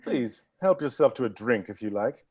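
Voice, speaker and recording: A man's voice: medium pitch, normal speed, a little mellow, husky, lightly acted. A man playing a polite, flustered butler who stammers as he tries to stay composed, in a comedy mystery table read. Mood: courteous.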